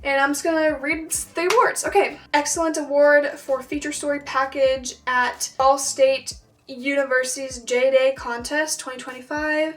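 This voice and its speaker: A woman's voice: sits high, a bit bright, distant mic. A young woman in her late teens who speaks with a stutter, talking casually to camera with frequent filler words. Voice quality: has a stutter. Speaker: vlogger.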